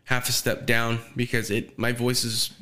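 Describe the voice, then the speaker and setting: A man's voice: medium pitch, monotone, normal speed, pushed and bright. A young adult man hosting a casual podcast chat, laid-back, swearing freely and laughing often as he talks about playing music.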